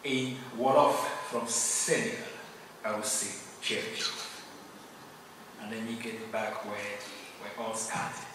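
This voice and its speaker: A man from Cameroon, a native Yemba speaker, gives a formal acceptance speech, earnest and grateful, pausing often. His mid-pitched voice rings through a large hall, picked up from a distance with a lingering reverb and steady room noise. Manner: earnest, grateful, measured with pauses. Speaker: award recipient giving acceptance speech. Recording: echoey hall, distant mic, noisy.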